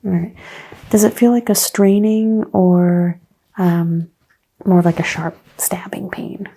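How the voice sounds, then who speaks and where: A soft-spoken woman playing a chiropractor in an ASMR roleplay, hushed and often drifting into a whisper. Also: fairly low pitch, little pitch movement, slow. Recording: faint background noise.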